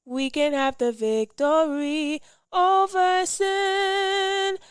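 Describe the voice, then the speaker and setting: A woman singing a devotional gospel song in drawn-out phrases. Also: very high-pitched, little pitch movement, no echo, clean studio sound, faint music behind. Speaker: singer. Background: musical accompaniment.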